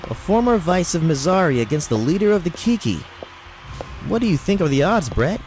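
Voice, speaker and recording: A man's voice: a little high, very animated, fairly quick, darkish tone, faint music behind. A man playing an inquisitive fighting-clan member in a visual novel, with a questioning manner. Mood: curious. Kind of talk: animated visual novel acting.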